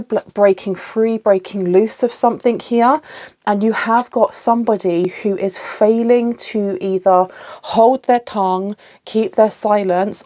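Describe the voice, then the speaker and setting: A woman's voice: medium pitch, mellow. Woman reading tarot, slightly sniffly. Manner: musing aloud, pausing to ask the cards for clarity. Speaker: tarot reader. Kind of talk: solo tarot reading to camera.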